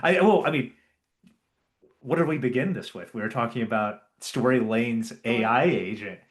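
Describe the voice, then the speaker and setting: A man's voice: mid-range voice, moderate pace. A man hosting a casual interview, enthusiastic and affirming, who often repeats words as he starts a thought. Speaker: podcast host.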